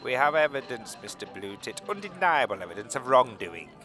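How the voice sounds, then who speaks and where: A man's voice: medium pitch, very animated, a little fast, sharp tone, faint music behind. A man playing an officious, self-important policeman in a radio comedy, speaking emphatically and with exasperation. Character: officious, earnest policeman making an arrest. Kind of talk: radio comedy drama parody.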